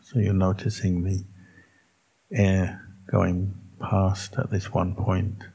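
A man with a low, dark voice leads a guided meditation as a monk would. His delivery is very slow and calm, broken into short phrases with long pauses between them. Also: vocal fry, slightly rough, low-quality recording. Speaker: Buddhist monk teaching meditation.

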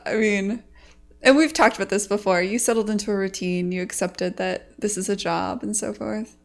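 A woman hosting a casual podcast chat, frank and animated, swearing casually as she talks about game development. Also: mid-range voice, moderate pace, creaky.

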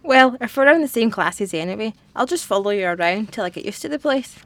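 A young woman playing a dry, wary teenage girl who is new at school, deadpan, in a comic audio drama. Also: mid-range voice, brisk, dry recording. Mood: matter-of-fact. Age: young adult. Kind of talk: light comedic audio drama dialogue.

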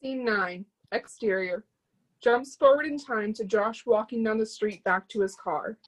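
A woman's voice: on the high side, a little slow, rough recording. A woman reading out screenplay stage directions as scripted narration.

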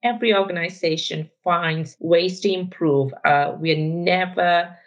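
A woman's voice: lowish voice, unhurried, muffled recording. A woman in her forties with a Sri Lankan accent, a guest on a podcast, speaking thoughtfully and frankly with hesitant fillers. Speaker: technology executive, guest. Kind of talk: podcast interview.

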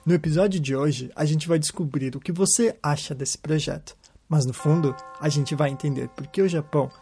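A man hosting a podcast, telling stories in a calm scripted narration. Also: a little high, fairly quick, faint music behind. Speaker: podcast host. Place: lives in Japan.

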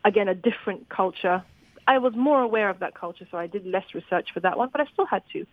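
A woman's voice: mid-range voice, quick pace, no echo. A woman novelist who grew up in Zimbabwe, talking animatedly about her books in an interview, often restarting her sentences. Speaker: novelist guest. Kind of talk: interview conversation. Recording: dry, phone-like line.

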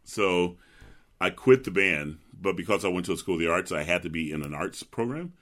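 Middle-aged male bass, very low and resonant, a laid-back storyteller who laughs easily. Interview guest. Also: expressive, a little fast, fairly bright tone. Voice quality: deep resonant bass speaking voice. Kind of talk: relaxed interview chat.

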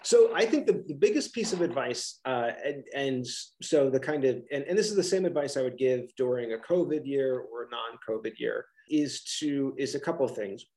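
A man's voice: medium pitch, expressive, normal speed, few pauses, mellow, poor audio. A middle-aged man with a Philadelphia accent, a college professor answering interview questions casually on a video call, with many restarts and fillers.

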